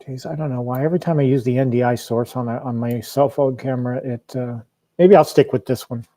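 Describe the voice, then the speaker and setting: A man's voice: mid-range voice, fairly even intonation, fairly quick, dark tone, no echo. Chatty man with a dry, close voice. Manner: rambling, with many restarts and fillers. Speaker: host of an arcade livestream. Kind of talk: casual livestream chat. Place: home arcade room. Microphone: lavalier mic.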